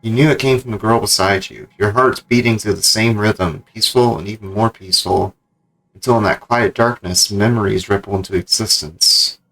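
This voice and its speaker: A man's voice: lowish voice, moderate pace, fairly bright tone, rough recording. A man livestreaming a video game, commenting and reading the story text aloud.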